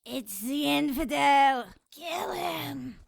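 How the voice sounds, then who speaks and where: Demonic nun creature for horror radio play: shrill, very drawn-out, creaky, fanatical, faint noise behind. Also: female voice, heavily acted. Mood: bloodthirsty alarm. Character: demonic female ghoul nun, bloodthirsty and fanatical.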